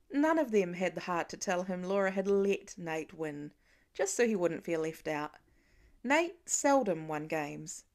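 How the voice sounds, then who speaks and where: A woman reading fiction aloud as scripted, expressive story narration. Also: fairly low pitch. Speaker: amateur audiobook reader.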